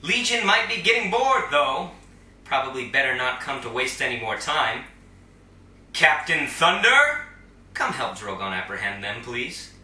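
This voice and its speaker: A man playing a smug, theatrical superhero group leader in an audio drama, taunting with grand, drawn-out delivery. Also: medium pitch, sing-song, sharp tone, exaggerated voice. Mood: grandiose, taunting. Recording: roomy, slightly distant microphone.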